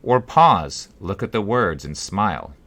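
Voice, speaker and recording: A man reading his own first-person story as an audiobook, with measured, deliberate phrasing. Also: low voice, expressive, a bit bright. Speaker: author reading own book.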